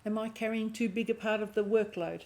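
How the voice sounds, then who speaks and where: A woman with a croaky voice and a lingering cough giving an intuitive tarot reading, thinking aloud and often restarting her phrases. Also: medium pitch, fairly even intonation, brisk, darkish tone. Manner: musing aloud, restarting phrases, clearing throat. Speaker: tarot reader.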